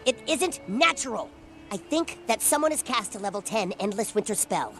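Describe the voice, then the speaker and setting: An argumentative, indignant cartoon boy, quick with an angry retort. The voice is very high and moves a lot. There is light music under it. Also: very animated, sharp tone, heavily acted. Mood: earnest. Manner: exasperated, cursing retorts. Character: indignant sharp-tongued boy, quick to argue. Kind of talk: cartoon game cutscene acting.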